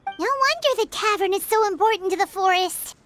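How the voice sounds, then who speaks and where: A woman voicing a tiny, eager, cheeky fairy companion in an animated game style, with a squeaky childlike voice. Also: fairly quick, soft background music. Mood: puzzled. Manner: refers to self in third person, exclamatory. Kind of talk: animated video game voice acting.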